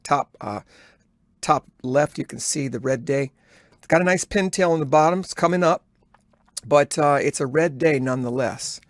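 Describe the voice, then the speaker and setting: A man's voice: a little high, moderate pace, no echo. Dry, close-sounding man talking through trades. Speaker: day trader recording a trading journal. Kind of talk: live trade commentary, thinking aloud.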